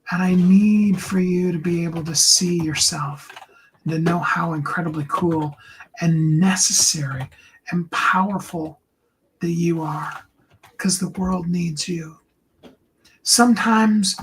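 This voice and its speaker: A man with a higher tenor voice giving an earnest, encouraging motivational talk to his viewers, often slowing down and dropping into a soft whisper. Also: slight background noise, poor audio. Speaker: host and coach.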